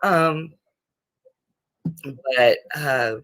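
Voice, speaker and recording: A woman in her fifties chatting casually on a livestream panel, rambling with halting restarts. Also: low-pitched, fairly bright tone, dry recording, low-quality recording. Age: 50s. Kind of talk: casual group chat.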